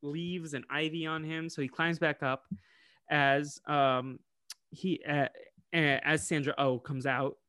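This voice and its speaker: A man co-hosting a podcast, recapping a cartoon episode in casual chat, animated and laughing often. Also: on the high side, takes it slowly, smooth, no echo. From United States. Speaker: podcast co-host.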